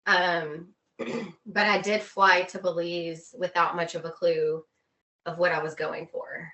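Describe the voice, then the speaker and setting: A woman's voice: on the low side, little pitch movement, takes it slowly, bright, forceful tone. A woman chatting casually and informally as a guest in an interview. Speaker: guest, expedition group co-founder.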